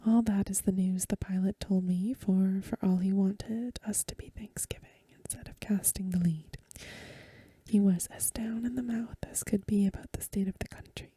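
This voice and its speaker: A woman softly whispering a story for ASMR in a breathy voice, with gentle, careful phrasing, close to the microphone. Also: mid-range voice, steady tone, normal speed, no echo. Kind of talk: soft-spoken ASMR story reading.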